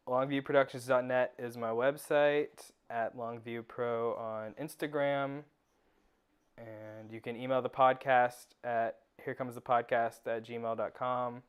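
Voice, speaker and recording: A woman with a strikingly low, smooth and resonant voice and a light Southern US accent. She co-hosts a podcast in a relaxed, casual way and laughs easily. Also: dull audio. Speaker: podcast co-host. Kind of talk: casual chat.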